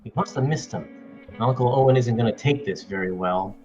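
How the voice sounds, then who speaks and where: A man's voice: medium pitch, a little fast, darkish tone, highs cut off, soft background music, close to an everyday voice. A man playing a frustrated, earnest young farm boy in an amateur table read of a film script.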